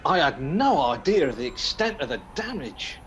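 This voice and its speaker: An actor playing an earnest, sympathetic detective sergeant in a radio play. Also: male voice, on the high side, very animated, moderate pace, fairly bright tone, faint music behind. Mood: grave, businesslike. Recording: live-streamed audio, faint noise.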